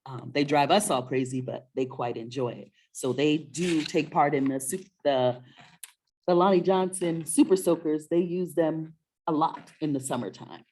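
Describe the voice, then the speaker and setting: Woman host, middle-aged, low voice. Conversational, encouraging presenter for a kids' story-time webinar. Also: moderate pace, darkish tone, slight background noise. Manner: encouraging, often asks questions. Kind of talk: casual conversational presenting to and with a child.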